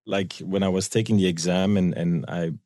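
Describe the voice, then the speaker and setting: A man's voice: deep voice, fairly even intonation, moderate pace, dark tone, no echo, studio quality. A man from Belgium with a Belgian accent, telling anecdotes conversationally as an interview guest and acting out quoted dialogue. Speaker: guest, tour guide and business owner.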